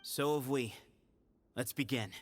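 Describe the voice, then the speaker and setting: An actor playing a confident, resolute young temple leader in a video game, with self-assured theatrical phrasing. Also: male voice, mid-range voice, a little slow, natural voice. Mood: calmly assured. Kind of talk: animated video game voice acting. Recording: loud game music behind the voice.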